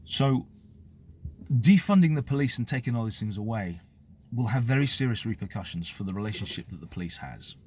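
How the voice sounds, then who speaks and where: A middle-aged man from the United Kingdom with a British accent, a former police officer, speaking to the camera in a measured, explanatory way. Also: on the low side, lively intonation, husky. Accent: British English. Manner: measured, explanatory, occasional fillers. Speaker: former police officer giving his view. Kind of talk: direct-to-camera opinion talk.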